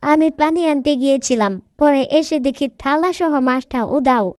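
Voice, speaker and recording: A woman's voice: high-pitched, normal speed, darkish tone, no room sound, natural voice. A woman voicing a thrifty, grumbling village housewife in a cartoon. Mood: puzzled, defensive. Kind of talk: cartoon voice acting. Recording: faint music behind the voice.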